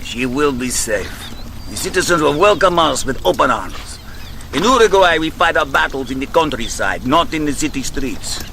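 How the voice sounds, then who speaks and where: A proud, grave rebel general in a radio drama, commanding and measured with dry understatement. His delivery swings with lively inflection, and steady ambient sound effects run beneath him. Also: fairly high pitch, vocal fry, a little hiss or hum, heavily acted. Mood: gentle, earnest. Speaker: army general leading a hopeless stand.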